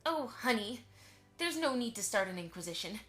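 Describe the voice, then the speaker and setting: A woman's voice: fairly high pitch, very animated, normal speed, a bit bright, faint music behind. A woman playing a polite, chatty pony mother who keeps the peace in an audio drama. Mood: placating.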